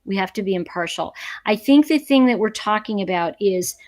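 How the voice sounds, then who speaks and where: A woman's voice: mid-range voice, animated, brisk, darkish tone. A middle-aged American woman hosting a casual livestream chat, talking emphatically and conversationally and repeating phrases for stress. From United States. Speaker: livestream host; teacher. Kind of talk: casual livestream chat, reading and answering viewer comments.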